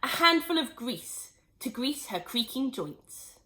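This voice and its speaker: Expressive teacher reading to kids; a woman with a bright, bouncy, fairly high delivery. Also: takes it slowly, a little hiss or hum. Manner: expressive, animated read-aloud. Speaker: storyteller teacher. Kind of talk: children's story reading.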